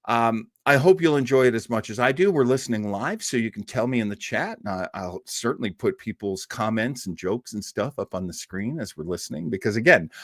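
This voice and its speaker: Animated man hosting a casual livestream. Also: medium pitch, no echo, clean studio sound. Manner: self-deprecating, joking, digressive. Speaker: host of a live online radio drama listening party. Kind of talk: casual rambling livestream introduction.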